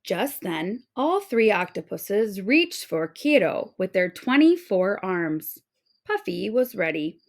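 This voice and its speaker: Slow, animated woman storyteller. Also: mid-range voice. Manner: expressive storytelling. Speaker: storybook reader. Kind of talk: children's read-aloud narration.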